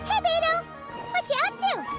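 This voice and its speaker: A woman voicing a tiny, perky, childlike fairy companion in a video game, with a squeaky voice. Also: normal speed. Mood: cheerful. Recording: background music under the voice.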